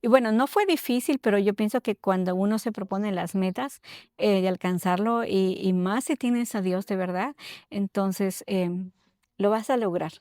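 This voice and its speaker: A middle-aged woman from Ecuador, telling her personal story haltingly as an interview guest, often checking in with tag questions. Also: mid-range voice, a little mellow, dry recording, studio quality.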